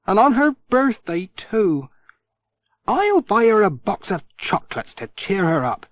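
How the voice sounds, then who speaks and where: Man voicing a cheerful, high-pitched village postman. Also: very animated, measured pace, no echo, heavily acted. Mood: sympathetic. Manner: bright, earnest, friendly. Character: Pat, cheerful kindly male village postman. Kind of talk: children's storybook character voice.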